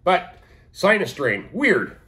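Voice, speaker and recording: A man's voice: high voice, sing-song, slow pace, pushed and bright. Rambling sniffly man in his sixties. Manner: rambling, many fillers and restarts. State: sniffly, stuffed-up sinuses. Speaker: vlogger. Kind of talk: casual talk to camera.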